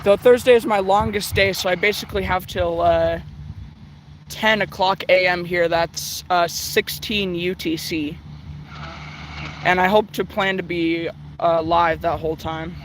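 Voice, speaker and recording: A teenage boy hosts a casual live stream. His voice is quite high for a male. He is outdoors, with clear traffic and urban noise around him. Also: fairly even intonation, measured pace, fairly bright tone. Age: teens, high school student. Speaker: livestream host, shortwave radio hobbyist. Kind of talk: casual live commentary to chat listeners. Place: outdoors in a park next to a school. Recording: noisy outdoor recording with traffic. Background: outdoor urban ambience, passing vehicles.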